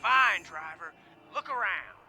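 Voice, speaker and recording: Stern wasteland stronghold leader. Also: male voice, sits high, lively intonation, slow pace, bright, forceful tone, slight background noise, full character voice. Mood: curt. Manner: stern, bargaining.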